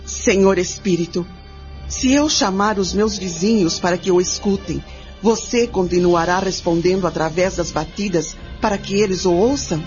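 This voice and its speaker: A woman playing a bold, curious nineteenth-century mother questioning a spirit in a radio drama, deliberate and formal. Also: fairly low pitch, a little mellow, close to an everyday voice. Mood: bold, polite. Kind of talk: radio drama acting, first-person recounting. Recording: muffled radio drama with music behind.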